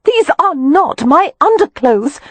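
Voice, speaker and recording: A man's voice: animated, fairly quick, darkish tone, slightly rough, no room sound, exaggerated voice. A man voicing an exasperated young woman. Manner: high, breathless protesting.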